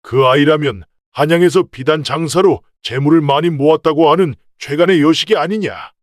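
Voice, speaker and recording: Man acting shrewd merchant patriarch, folktale drama, slightly high voice, old-fashioned authority, very dry sound. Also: normal speed, no echo, close to an everyday voice. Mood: probing. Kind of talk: acted folktale dialogue.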